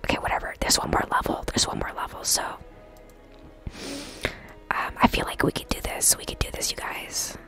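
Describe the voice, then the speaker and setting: A woman's voice: normal speed, long pauses, a bit bright. Whispering woman ASMR game streamer. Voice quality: breathy whisper. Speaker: live streamer. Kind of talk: whispered ASMR gaming stream, casual chat with viewers. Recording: music behind the whispering. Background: clear background music.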